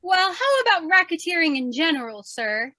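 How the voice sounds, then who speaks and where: A woman's voice: very high voice, very animated, moderate pace, bright, forceful tone, heavily acted. A woman playing a plucky, eager young news photographer in an old-time radio drama, perky in manner. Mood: feigned innocence.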